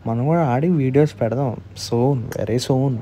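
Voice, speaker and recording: A man's voice: mid-range voice, moderate pace, mellow, slight background noise. A man with a Telugu Indian accent narrating a tutorial as a gaming channel host.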